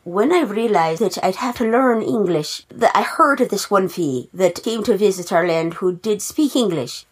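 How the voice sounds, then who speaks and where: A woman's voice: medium pitch, lively intonation, normal speed, few pauses, husky. Woman putting on a jokey Irish elf man. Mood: chatty. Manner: playful, folksy. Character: male elf spokesman, jokey, chatty.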